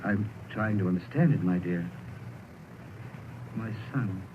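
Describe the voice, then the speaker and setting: An actor playing a heartbroken poor clerk and father in an old radio play, with a hushed, broken delivery. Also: deep voice, measured pace. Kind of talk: 1930s radio drama acting. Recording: tinny old radio broadcast with hiss.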